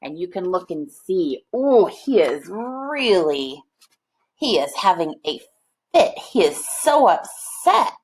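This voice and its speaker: A woman's voice: fairly low pitch, sing-song, takes it slowly, darkish tone, husky, poor audio. A woman reading a children's picture book aloud, expressively, with chatty asides to young listeners. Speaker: storybook reader.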